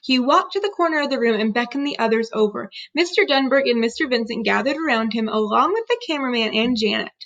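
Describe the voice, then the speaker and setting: A woman reading a children's book aloud as an expressive storyteller. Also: on the high side, quick pace, few pauses. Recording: dull lo-fi recording.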